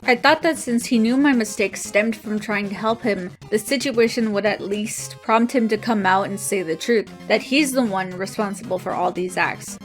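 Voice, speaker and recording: A woman's voice: mid-range voice, normal speed, hardly any pauses, soft background music. A woman reading a written apology statement aloud.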